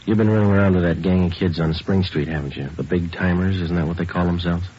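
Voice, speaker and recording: An actor playing a terse, deadpan male police detective sergeant in a vintage radio crime drama. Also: low-pitched, quick pace, slightly rough. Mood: calm questioning. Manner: clipped, matter-of-fact, deadpan. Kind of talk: 1940s-50s radio police drama acting and narration. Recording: noisy muffled old radio recording with clicks.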